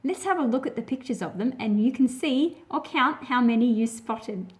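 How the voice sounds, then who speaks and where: Female children's read-aloud presenter, fairly high voice, addressing young listeners directly. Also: normal speed.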